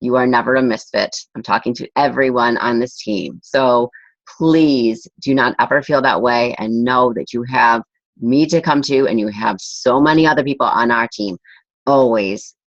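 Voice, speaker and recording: A woman's voice: extremely low pitch, measured pace, no echo. A woman leading her team on a group video call, upbeat and emphatic as she encourages everyone. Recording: dull video call audio.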